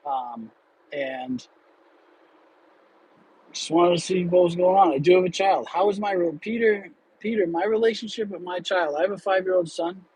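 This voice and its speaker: A man's voice: high-pitched, normal speed, long pauses, slightly rough, low-quality recording. Candid man chatting from his car. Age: 30s. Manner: relaxed, candid, rambling with fillers and restarts. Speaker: livestream host. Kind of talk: casual livestream chat. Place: car in traffic.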